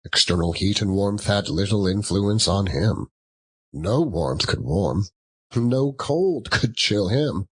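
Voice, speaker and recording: A man narrating an audiobook in a theatrical, dramatic storytelling style, deliberately lingering over his words. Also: on the low side, animated, husky.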